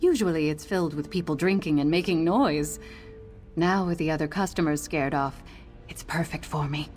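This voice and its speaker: A woman's voice: sits low, moderate pace, darkish tone, soft background music, natural voice. A woman playing a bookish inn patron and aspiring writer in a fantasy game, speaking in a composed way. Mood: content, composed.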